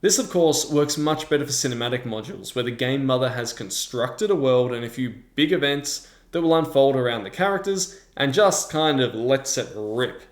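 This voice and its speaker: A man's voice: medium pitch, expressive, normal speed, few pauses. A man presenting a scripted review as the host of a video. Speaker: tabletop game reviewer and host. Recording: roomy, echoing sound.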